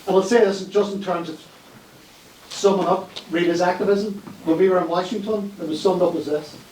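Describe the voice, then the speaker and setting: A fairly high-voiced man rouses a meeting with repeated, oratorical lines. He is heard from far off, and the big hall echoes around him. A faint hiss sits underneath. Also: fairly even intonation, brisk, darkish tone. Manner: rousing, oratorical. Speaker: speaker at a political meeting. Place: large hall.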